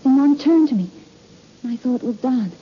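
An actress playing an anxious, haunted astronaut's wife in an old-time radio drama, speaking in a fraught, halting, dramatic way. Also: female voice, high voice, animated. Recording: dull, noisy old radio recording.

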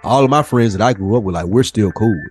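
A man's voice: lowish voice, quick pace, darkish tone, soft background music. A man tells stories in casual, slangy podcast chat, acting out what other people said. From Nashville, United States. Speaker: podcast co-host.

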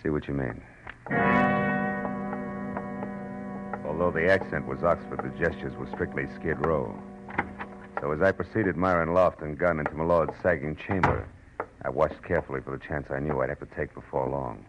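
A man's voice: very deep voice, fairly even intonation, brisk, highs cut off. A man acting a tough, wry, world-weary private eye in 1940s radio style, with an American accent and a clipped, sardonic delivery. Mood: cool, sardonic. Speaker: lead actor and narrator. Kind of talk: hard-boiled 1940s radio detective acting. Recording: old 1940s radio recording, music underneath.

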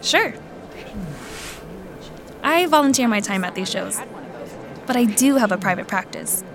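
An actress playing a courteous, careful coin appraiser who explains things politely and in measured phrases in an audio drama. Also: female voice, mid-range voice, animated, a crowd in the background. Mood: polite, explaining.

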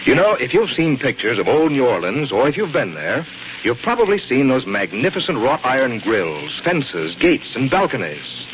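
Animated vintage radio announcer, male. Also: medium pitch, normal speed. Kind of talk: 1940s radio announcing, scripted storytelling. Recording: muffled 1940s radio broadcast, faint noise.

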